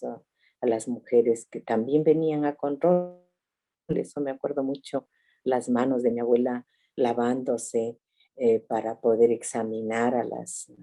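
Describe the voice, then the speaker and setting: A woman in her sixties, a family doctor from Ecuador, telling her story reflectively with soft laughs over a video call. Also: very deep voice, creaky. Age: 60s.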